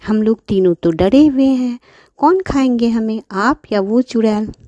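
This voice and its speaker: Clever young cartoon girl voiced by a woman, high-pitched, coaxing, drawn-out calls, soft tone, dry recording. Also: dry recording, close to an everyday voice. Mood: coaxing, persuasive. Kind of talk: children's cartoon storytelling.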